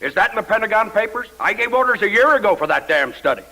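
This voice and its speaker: An actor playing a vindictive, paranoid, profane US president who grumbles and schemes insistently in a radio drama. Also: male voice, high voice, expressive, quick pace, pushed and bright, full character voice, rough recording. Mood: scheming, agitated. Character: US President, vindictive, paranoid, profane politician plotting against enemies.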